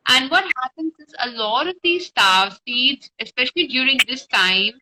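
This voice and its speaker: A woman's voice: high voice, animated, moderate pace. A woman spiritual guide talking informally and explaining things on a live stream, recorded on a phone with faint noise. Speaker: spiritual guide and meditation teacher.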